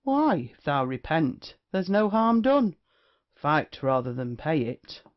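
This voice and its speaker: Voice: medium pitch, very animated, takes it slowly. A performer playing a swaggering young rake, dull lo-fi sound. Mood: cocky, taunting. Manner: archaic, swaggering, flippant speech. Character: brash, witty young male rake, swearing casually. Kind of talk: period comedy audio play acting. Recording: dull, lo-fi audio.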